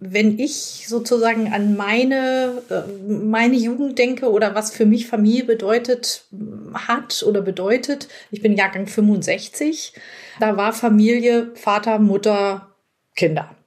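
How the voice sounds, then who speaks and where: A woman's voice: fairly high pitch, steady tone, a little slow. A woman in her fifties, a midwife hosting an interview and asking questions in a searching, conversational way. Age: 50s. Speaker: midwife and podcast host.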